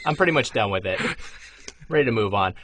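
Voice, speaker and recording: A man co-hosting a comedy podcast in a dry, flat, deadpan way, introducing guests and asking questions. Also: fairly low pitch, fast talker, slight background noise. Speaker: podcast co-host. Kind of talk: casual comedy podcast chat.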